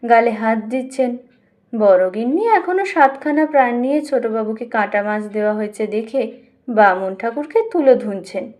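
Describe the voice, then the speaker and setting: A woman with a high voice narrates a literary audiobook. She reads scripted prose expressively, as a storyteller would. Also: a little mellow. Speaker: audiobook reader.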